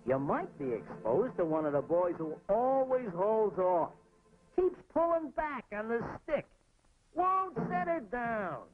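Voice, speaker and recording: A jittery old-hand cartoon warplane with a high voice that swoops up and down. The talk is folksy and gossipy, tripping into stammers and repeats. It sounds like a 1940s training cartoon. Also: male voice, sing-song, measured pace. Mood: warning. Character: jittery, battered talking navy airplane, male, gossipy veteran. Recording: tinny 1940s film soundtrack with music.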